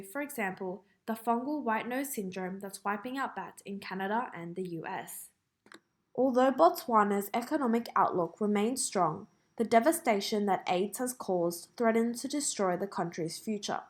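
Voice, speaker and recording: A woman with an Australian accent reads scripted practice passages aloud in a measured, careful way. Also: mid-range voice, lively intonation. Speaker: reader of English test practice passages.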